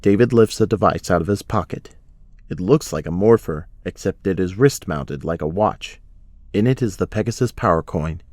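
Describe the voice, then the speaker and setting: A man's voice: deep voice, lively intonation, moderate pace, darkish tone, dry recording, clean studio sound. A man reading scripted narration for an audio drama.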